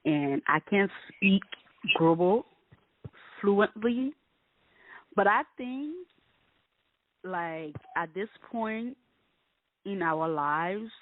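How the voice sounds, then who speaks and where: A woman from Liberia hosting a casual interview, chatty and opinionated, with lively emphasis. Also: mid-range voice, very slow, pauses often, no echo. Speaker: interview host. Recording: dry, phone-like call audio.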